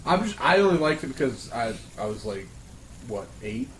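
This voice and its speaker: A man's voice: medium pitch, sing-song, normal speed, a little hiss or hum, poor audio. A man talking casually as a panelist among friends at a round table. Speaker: panelist on a group discussion show. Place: indoor room, group round-table.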